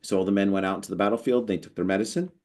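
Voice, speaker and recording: American guy with a real low voice, chatty podcast co-host, keeps restarting mid-story. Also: rapid-fire. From United States. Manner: animated, storytelling, lots of restarts. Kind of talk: casual conversation.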